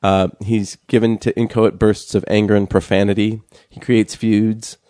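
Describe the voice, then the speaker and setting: A young man co-hosting a casual chat show, talking haltingly with many fillers and restarts. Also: fairly low pitch, little pitch movement, dark tone, no room sound, clean studio sound. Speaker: podcast co-host.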